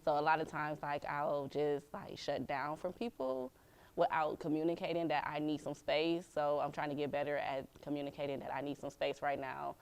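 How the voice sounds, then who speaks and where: A woman in her thirties with a very low voice. She talks in a casual, filler-filled way, quiet and a bit reserved. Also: flat delivery, normal speed, clean tone. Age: 30s. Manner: casual, lots of "like" fillers, self-described quiet. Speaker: school counselor, interviewee. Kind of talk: casual interview talk.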